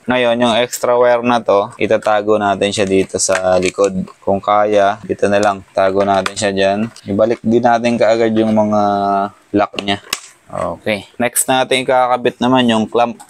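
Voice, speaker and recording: A Filipino man giving a casual hands-on installation walkthrough, thinking aloud as he works. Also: fairly low pitch. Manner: thinking aloud while working with his hands. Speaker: motorcycle gear vlogger.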